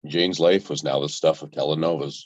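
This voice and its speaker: A man's voice: extremely low pitch, fairly even intonation, brisk, no room sound. A man playing a wry, dramatic telenovela-style narrator, on a dull, dry recording.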